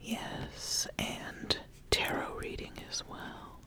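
A woman playing an ambitious, intrigued witch in a hushed ASMR fantasy roleplay, speaking deliberately with lingering pauses and repeated phrases. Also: very high-pitched, huge pitch swings, exaggerated voice. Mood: intrigued, solemn. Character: ambitious witch, intrigued, sizing up a would-be apprentice.

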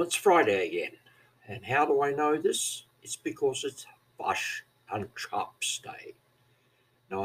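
A man's voice: medium pitch, fairly bright tone, breathy edge, rough recording. A man in his seventies from New Zealand with a Kiwi accent, rambling through a wry, self-mocking monologue. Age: 70s. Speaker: vlogger. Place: aged care facility.

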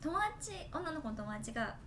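A woman's voice: high voice, very animated, moderate pace, crowd noise. A woman co-hosting a podcast, telling anecdotes in casual conversation with friends. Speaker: podcast co-host.

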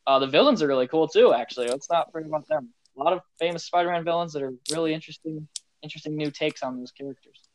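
A man's voice: fairly high pitch, racing, highs cut off. A man co-hosting a casual podcast, speaking in a laid-back way with short replies. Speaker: podcast co-host. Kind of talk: casual chat.

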